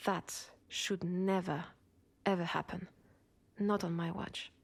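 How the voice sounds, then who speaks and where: A woman with a French accent playing an ambitious, protective cyberpunk cop in an intimate sci-fi audio roleplay, with soft, breathy delivery. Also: on the low side, flat delivery, slow pace, no room sound, heavily acted. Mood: protective, earnest. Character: ambitious, protective female cyberpunk cop, senior partner to a rookie. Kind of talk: intimate sci-fi roleplay acting, one side of a dialogue.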